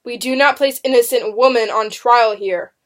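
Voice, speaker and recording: A woman voicing a tall, commanding male witch-trial judge in a storybook, loud, sneering and accusing. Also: fairly high pitch, fairly even intonation, normal speed, natural voice. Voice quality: forceful, loud delivery. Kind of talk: dramatic storybook reading.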